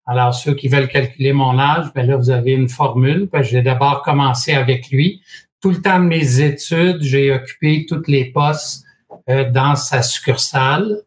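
A man in his sixties from Quebec with a Québécois French accent, a guest in an interview, telling his story in a measured way with frequent hesitations and dry humor. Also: medium pitch. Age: 60s. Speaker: guest, franchise expert.

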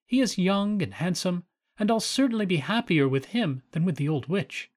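A man voicing a gentle, innocent young maiden who speaks softly and eagerly. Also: high voice, lively intonation, normal speed, pitched above the performer's own voice.

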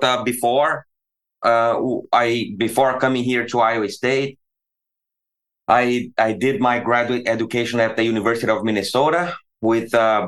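A man in his forties from Brazil, speaking with a Brazilian Portuguese accent as a veterinary professor guest on an interview, talking in a halting way with many restarts and repeated words. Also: lowish voice, fairly bright tone. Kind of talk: conversational interview.

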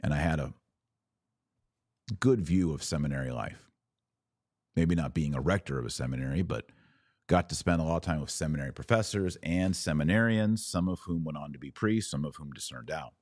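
A man with a very low, deep voice gives religious instruction in a close, dry recording. He is deliberate and didactic, setting phrases apart with emphatic pauses as he lectures like a podcast teacher. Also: darkish tone, no echo.

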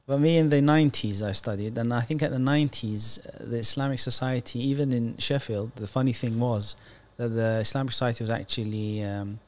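A man in his forties, born in Iraq and raised in Britain, talks as an interview guest at a middling pitch. He speaks casually and hesitantly, full of fillers and restarts. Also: fairly even intonation, a little fast, hardly any pauses.

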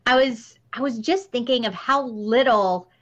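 A woman's voice: on the high side, measured pace. A woman hosting a casual interview in an earnest, appreciative way, repeating words for emphasis. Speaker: interviewer, podcast host. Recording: slightly dull, lo-fi audio.

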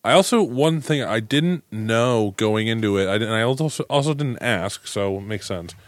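A man's voice: lowish voice, expressive, normal speed, no echo. A man co-hosting a podcast, chatting casually with a loose, slangy, joking manner. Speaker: podcast co-host. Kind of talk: casual chat.

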